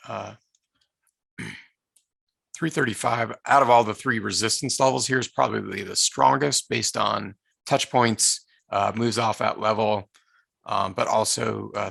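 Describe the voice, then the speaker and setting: A man with a hoarse, tired voice presenting a live online market-analysis session, speaking haltingly with many filler sounds and restarts. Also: fairly low pitch, steady tone, a bit bright, no room sound. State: hoarse, losing his voice.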